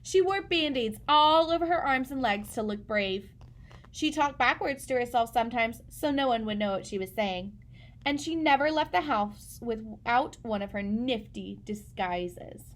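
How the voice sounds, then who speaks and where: A woman with a fairly high voice narrates a children's story. She reads expressively, as a storyteller addressing young listeners. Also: expressive, measured pace. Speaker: read-aloud storyteller.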